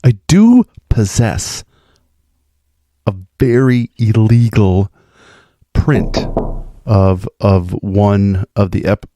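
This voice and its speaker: A man's voice: on the low side, extremely slow, long pauses, noisy background. A man speaking informally as a podcaster, playful and effusive, giving an enthusiastic, geeky monologue. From American Midwest. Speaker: podcast host sending in a festival recap.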